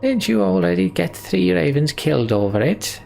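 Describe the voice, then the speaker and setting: A brash fantasy game mercenary, acted out by a man, teasing and jeering. The voice is pushed higher and louder, with some gravel in it. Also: sing-song, moderate pace, a little hiss or hum, exaggerated voice. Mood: goading.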